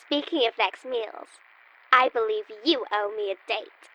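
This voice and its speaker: A woman's voice: a little high, unhurried, fairly bright tone, rough recording. A woman playing a feisty, bossy, wisecracking girl in an old-time style radio comedy sketch. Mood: indignant, bossy. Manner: brash, wisecracking, teasing.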